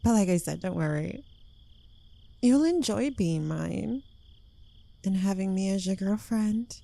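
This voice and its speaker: A smug, clingy young witch played by a woman in an intimate ASMR roleplay. She drawls slowly in a soft, mellow voice with a creaky edge, teasing and possessive, slightly unhinged. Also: mid-range voice, animated, no echo.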